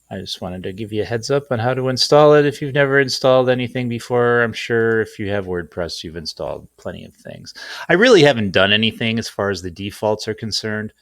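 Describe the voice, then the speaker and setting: Low gravelly guy walking thru a tutorial, casual and rambly, pretty dry close sound. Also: a bit bright, dry recording. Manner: informal, self-deprecating, rambling. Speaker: tutorial host. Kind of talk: casual off-the-cuff screen-share tutorial.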